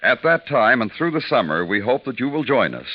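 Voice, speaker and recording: Animated male vintage radio announcer. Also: medium pitch. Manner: formal, dramatic, deliberate. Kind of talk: 1950s radio announcing, scripted. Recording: dull vintage radio, loud music.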